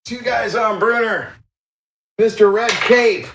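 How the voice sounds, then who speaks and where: A man with a slightly high voice works as game master at a tabletop fantasy session. He describes the action casually, making it up as he goes, over faint background noise. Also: slow.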